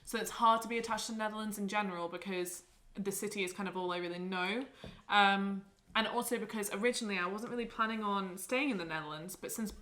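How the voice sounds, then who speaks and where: Bright, chatty young Englishwoman with a Canadian-tinged accent. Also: medium pitch, fast talker. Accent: English mixed with Canadian. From England. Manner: chatty, self-deprecating, laughs often. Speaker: podcast host. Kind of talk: casual podcast chat.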